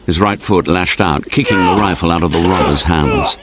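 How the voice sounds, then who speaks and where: A man's voice: low voice, moderate pace, heavy background noise, lightly acted. A man acting as the narrator of a radio spy thriller, with dramatic scripted delivery. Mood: suspenseful.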